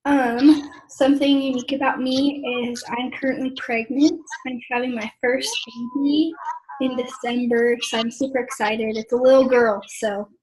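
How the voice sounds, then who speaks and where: A young American woman casually facilitating a small-group discussion, often clearing her throat. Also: fairly high pitch, steady tone, moderate pace, darkish tone, poor audio. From Idaho, United States. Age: young adult.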